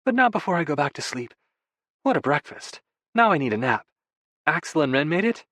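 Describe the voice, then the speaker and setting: A man plays a young wife in an audiobook romance. The voice is gentle and affectionate, lifted a little in pitch, with a playful tease to it. The sound is very dry and intimate. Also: sing-song, a little fast, no room sound. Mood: playful, warm. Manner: soft, affectionate, teasing. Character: playful, tender young wife, softly teasing. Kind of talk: audiobook dialogue.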